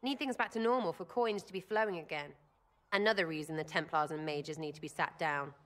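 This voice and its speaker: A woman's voice: sits low, fairly quick, a bit bright. A woman voicing a brash, irreverent young rogue in a video game, with an English accent and a blunt, slangy, rambling manner. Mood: playful, excited.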